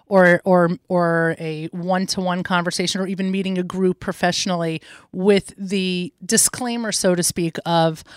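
A woman's voice: on the low side, steady tone, normal speed, clear, resonant tone, no room sound, studio quality. A woman interview host who asks long, thoughtful, winding questions with some fillers. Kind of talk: interview conversation.

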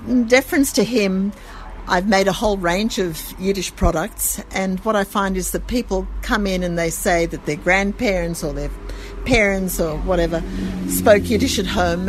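A woman's voice: medium pitch, slight background noise, poor audio. A middle-aged woman who owns a gift shop, chatting casually in an interview in her store.

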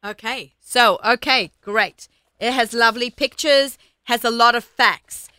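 Bright, crisp-voiced woman host. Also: on the high side, no room sound. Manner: upbeat, quick to praise, chatty. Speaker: radio show host. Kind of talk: interview on air.

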